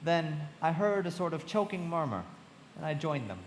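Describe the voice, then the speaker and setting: A man playing a frank young storyteller in a live radio play, heard in a long, noisy hall reverb. His voice sits a little high and moves in lively swings as he delivers literary narration and dialogue. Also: normal speed. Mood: reflective, descriptive. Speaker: narrator and actor. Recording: long hall reverb, clear background noise.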